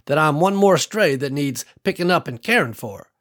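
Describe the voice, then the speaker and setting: A warm, wise old woman who has worked her land for decades, as a male narrator puts her on. She talks in an unhurried, folksy way, full of endearments, in a voice lifted somewhat above his own. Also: a little high, lively intonation, voice pushed up. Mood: gentle. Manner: gentle folksy drawl with endearments.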